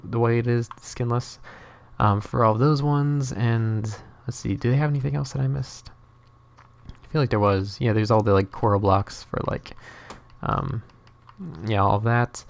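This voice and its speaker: A man's voice: mid-range voice, vocal fry. A young man whose first language is Norwegian, giving casual, rambling gameplay commentary full of fillers and restarts. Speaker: gaming channel host.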